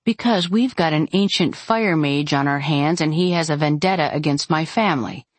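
A woman's voice: low-pitched, normal speed, no echo. A woman voicing an adult woman fighter in an audiobook, fierce, urgent and impatient, giving terse, emphatic commands. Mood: urgent, commanding. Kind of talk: first-person audiobook character acting.

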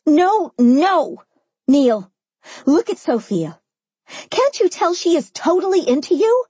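A woman voicing a drunk, furious, meddling young woman in an audiobook, with loud, indignant, sputtering outbursts. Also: very high voice, big pitch swings, slow, no echo, pitched above the performer's own voice, exaggerated voice. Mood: pushy, heated. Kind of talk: dramatised audiobook dialogue.

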